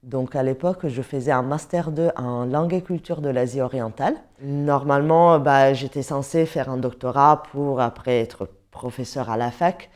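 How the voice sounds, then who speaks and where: A woman's voice: extremely low pitch, moderate pace, hardly any pauses, a little mellow. A woman in her thirties from Italy, speaking with an Italian accent as a teacher sharing her own story in an interview. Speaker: pole dance teacher and studio manager. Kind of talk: interview testimonial, personal storytelling. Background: music bed.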